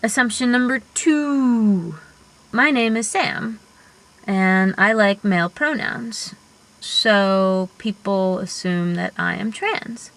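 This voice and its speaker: A young genderqueer vlogger, female-bodied, with a high voice at a middle pitch for a woman. The delivery is slow and unhurried, earnest and reflective, often pausing to think aloud. It sounds like a personal video diary recorded at home. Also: gravelly, fairly bright tone, low-quality recording. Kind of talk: personal vlog monologue.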